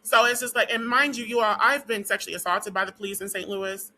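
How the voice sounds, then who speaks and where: A woman vlogger talking casually to her viewers in a rambling, profane rant full of "um"s, her voice dry and hoarse as it gives out. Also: medium pitch, quick pace, sharp tone, a little hiss or hum, low-quality recording. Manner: rambling and profane, with frequent "um" and "what do you call it".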